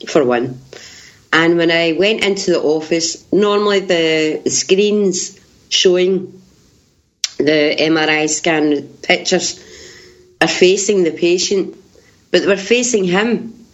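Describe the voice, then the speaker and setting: Woman, interview guest, big voice, on the low side, animated telling, muffled recording. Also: takes it slowly. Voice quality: big, loud voice. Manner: animated, laughs while telling her story. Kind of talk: casual storytelling.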